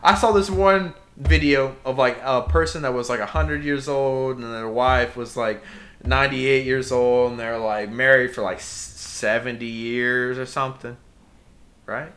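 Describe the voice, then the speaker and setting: A man's voice: mid-range voice, steady tone, unhurried, a bit bright. A young man co-hosting a casual podcast, ranting with passion and bursting into emphatic outbursts. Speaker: podcast co-host.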